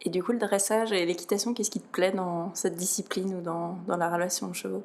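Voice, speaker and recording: A woman hosting a podcast, reading a scripted introduction and asking interview questions in a clear, measured way. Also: lowish voice, steady tone, a little mellow. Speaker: podcast host.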